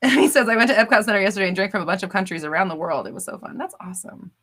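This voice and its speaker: A woman's voice: medium pitch, animated, racing, a bit bright. A woman hosting a casual live stream, reading viewer comments aloud with a bubbly, laughing manner. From United States. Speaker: livestream host.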